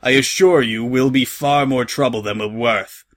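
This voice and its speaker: A man voicing a smug, malicious pony sky captain, taunting in a grandiose, drawn-out way for an audio story. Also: medium pitch, steady tone, natural voice. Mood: menacing, confident.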